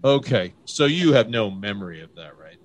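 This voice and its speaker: A man's voice: fairly low pitch, very animated, moderate pace. A man hosting a radio talk show, with an upbeat, emphatic announcer delivery as he interviews a guest.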